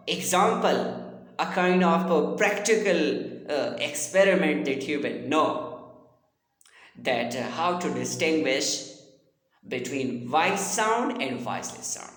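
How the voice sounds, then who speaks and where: A man lecturing on a language subject in a deliberate, repetitive, formally explanatory way. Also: a little high, big pitch swings, a bit bright. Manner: deliberate, repetitive teaching with formal address to listeners. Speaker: linguistics lecturer. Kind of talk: explanatory phonetics lesson. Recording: echoey room with long reverb.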